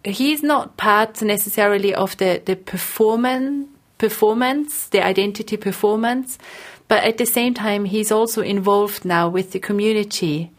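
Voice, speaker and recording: A woman's voice: mid-range voice, normal speed. A woman researcher explaining her work in an interview, thoughtfully repeating phrases as she forms her points.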